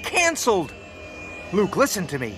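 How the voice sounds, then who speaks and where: A bold, earnest young man in a radio drama. His voice is high and very lively in pitch as he urges and argues. Faint music sits behind him. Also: moderate pace. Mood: exasperated.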